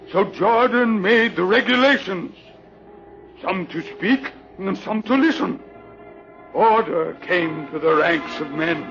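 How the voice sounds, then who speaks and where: An actor playing a solemn old keeper of a sacred legend, reciting in an incantatory, oracular way in an old radio drama. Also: male voice, high voice, creaky, fairly bright tone, heavily acted. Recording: muffled old radio recording with music behind.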